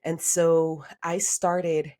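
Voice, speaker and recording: A woman's voice: deep voice, little pitch movement, extremely slow, mellow. A woman from Honduras who moved to the United States as a child, telling her own story as a podcast guest in a candid, informal and reflective way. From Honduras until age eight, then United States. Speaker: podcast guest, career coach. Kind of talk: conversational personal storytelling.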